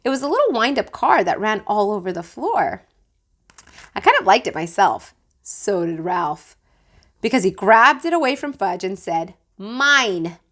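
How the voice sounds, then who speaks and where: A woman reading a children's book aloud like a teacher, with expressive storytelling. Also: mid-range voice, moderate pace.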